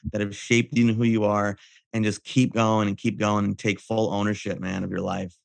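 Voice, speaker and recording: A man's voice: fairly low pitch, little pitch movement, moderate pace, vocal fry, no echo. A middle-aged man co-hosting a podcast, talking in a casual, encouraging, motivational way with slangy address. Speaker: podcast co-host.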